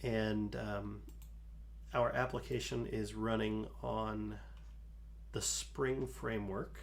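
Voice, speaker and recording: A man hosting a live coding stream, talking calmly through his code with a soothing voice and many fillers. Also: on the low side, vocal fry, a little hiss or hum. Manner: slow, calm, talks through code with fillers. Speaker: livestream host.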